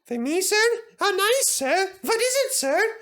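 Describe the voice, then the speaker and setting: A man's voice: very animated, measured pace, sharp tone, full character voice. A man voicing an anxious, eager young male steam engine in a storybook reading. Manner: eager, polite, high and strained.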